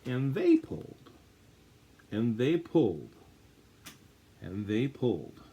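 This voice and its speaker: Guy reading a picture book to kids, kinda husky, super expressive, really draws out the dramatic bits. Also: medium pitch. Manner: dramatic, drawn-out emphasis and repetition. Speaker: story reader. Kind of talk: animated read-aloud of a children's picture book.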